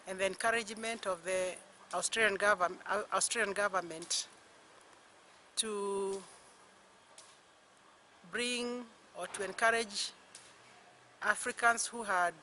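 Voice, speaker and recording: An older woman from Kenya with a Kenyan accent, giving a personal reflective talk in slow, halting phrases with long pauses. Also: mid-range voice, bright, forceful tone, slight background noise. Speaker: retired university lecturer.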